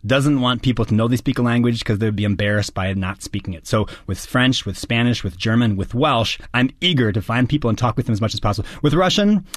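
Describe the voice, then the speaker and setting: A middle-aged American man, an actor, answering interview questions in an earnest, articulate and measured way. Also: mid-range voice, no room sound, clean studio sound. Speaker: actor and guest.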